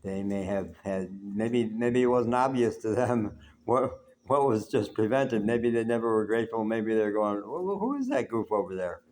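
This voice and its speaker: A man's voice: on the low side, animated, normal speed, darkish tone, a little hiss or hum. An older man from the United States, talking in a reflective, rambling way to camera from his apartment, saying he has a big voice. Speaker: vlogger. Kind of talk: reflective solo talk.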